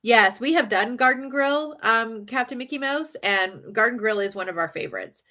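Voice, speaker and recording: A woman's voice: a little high, normal speed, pushed and bright. A woman with a slight Southern accent, hosting a casual livestream chat in a rambling, chatty way. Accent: slight Southern American. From northern United States. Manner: chatty and rambling, many fillers and giggles. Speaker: livestream host.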